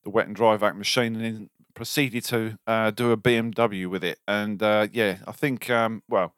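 A man's voice: fairly low pitch, fairly even intonation, moderate pace, fairly bright tone, dry recording, clean studio sound. A man co-hosting a casual podcast, tired after a night shift, rambling with lots of ums and jokes. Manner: rambling, jokey, many ums. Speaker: podcast co-host. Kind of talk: casual chat.

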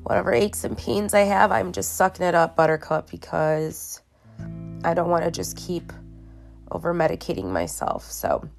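A low-voiced woman hosts a solo podcast while sick, sounding tired and congested. She talks slowly and haltingly, restarting sentences and filling gaps with ums. Faint guitar music plays underneath. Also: lively intonation, creaky. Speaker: podcast host. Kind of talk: casual solo podcast monologue. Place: her bedroom at home.

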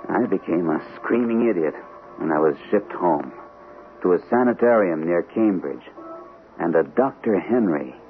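A halting, haunted army captain, low-voiced. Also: male voice, expressive, soft background music. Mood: subdued, haunted. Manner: hesitant, halting delivery. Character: shell-shocked army captain, anxious, fragile First World War veteran. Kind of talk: old-time radio drama acting with first-person narration. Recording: muffled, tinny old radio broadcast, faint hiss.

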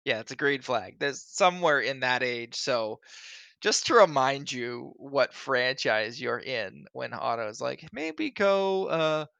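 Excitable male co-host, punchy bright tone, loud outbursts when quoting, dry recording. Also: mid-range voice, slow, gravelly, no echo, lo-fi sound. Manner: excitable, acts out quotes with big swings in loudness. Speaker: podcast co-host. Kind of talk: casual chat.

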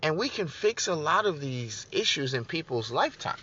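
A man's voice: fairly high pitch, very animated, normal speed, sharp tone, slightly rough, dull audio. A man giving an emphatic political talk to his online audience, repeating his points and calling listeners "guys". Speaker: political speaker on a broadcast.

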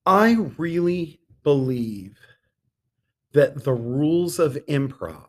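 A middle-aged man speaking as an interview guest in an earnest, measured way with deliberate pauses. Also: on the high side, expressive. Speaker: podcast guest, theatre artistic director. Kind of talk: conversational interview talk.

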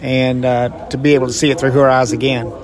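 A man's voice: medium pitch, flat delivery, fairly quick, a crowd in the background. A man in his sixties answers interview questions, reflective and heartfelt, with a mid-range voice. He speaks plainly, sometimes restarting a thought as he searches for the right words. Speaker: mission trip volunteer. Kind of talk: podcast interview.

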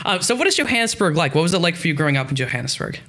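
A man's voice: on the high side, big pitch swings, racing, pushed and bright. A man in his twenties from the United States, a podcast host asking curious, rambling questions. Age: 20s. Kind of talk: casual interview chat.

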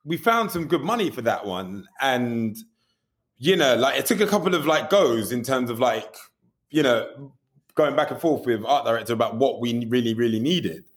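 Candid, animated male music video director. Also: medium pitch, normal speed. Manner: animated, candid, swears casually; fillers like "do you know what I mean". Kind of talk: casual interview storytelling.

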